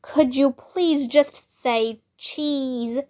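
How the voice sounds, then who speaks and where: A woman's voice: high-pitched, very slow, a little mellow. Woman doing an impatient, grouchy school photographer man. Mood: exasperated. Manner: exasperated, curt.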